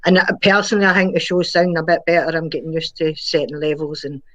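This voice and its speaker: A woman's voice: deep voice, little pitch movement, quick pace, fairly bright tone. A woman with a Glaswegian and Galloway Irish accent, a community radio presenter talking candidly as a live interview guest. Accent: Glaswegian and Galloway Irish mix. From Scotland. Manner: candid, self-critical, uses "aye".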